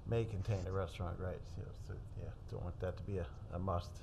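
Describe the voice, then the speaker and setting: A man's voice: low-pitched, fairly even intonation, fast, gravelly. Older man chairing meeting, noisy room. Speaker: planning board chair. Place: town hall meeting room.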